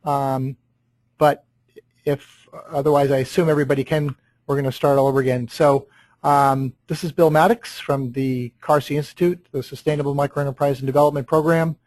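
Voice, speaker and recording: A man's voice: mid-range voice, flat delivery, brisk, a little mellow, no echo, rough recording. Filler-heavy male webinar host, dry sound. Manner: apologetic, filler-heavy, um-laden. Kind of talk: hosting a live webinar.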